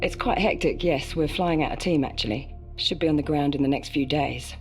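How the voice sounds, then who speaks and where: An actress playing an inquisitive female journalist who asks measured, probing questions in a game cutscene. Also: sits low, close to an everyday voice. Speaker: interviewer. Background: music.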